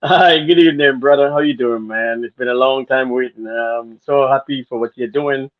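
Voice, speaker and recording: A man's voice: mid-range voice, fairly even intonation, moderate pace, no echo. A man from Guyana with a Guyanese accent, a guest telling his story in an earnest, rambling way. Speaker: guest, former dancer. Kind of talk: casual interview talk. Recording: phone-like narrow sound.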